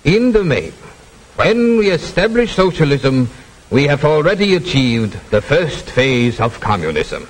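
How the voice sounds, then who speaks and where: An actor playing a fervent communist leader who declaims doctrine in a 1950s propaganda film. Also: male voice, a little high, sing-song, a little slow, poor audio. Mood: dogmatic. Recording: old 1950s film soundtrack.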